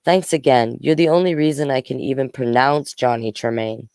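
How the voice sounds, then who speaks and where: An easygoing schoolgirl from a children's novel as the reader plays her. She is casual and joking, with a smooth, clear voice recorded dry and close. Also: medium pitch, fairly even intonation, normal speed, darkish tone, dry recording, close to an everyday voice. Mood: friendly teasing.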